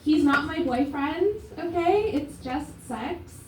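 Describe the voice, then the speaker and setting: A young adult woman from Canada performing a stand-up set on a comedy club stage, deadpan and self-mocking with many fillers. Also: high voice, slow pace, background noise. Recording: roomy live club sound from a distance.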